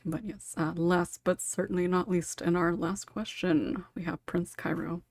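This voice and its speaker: Female podcast host, chatty and giggly, breathy texture, very dry recording. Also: fairly low pitch, fairly even intonation, slow pace, a little mellow, no echo. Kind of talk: casual panel discussion hosting.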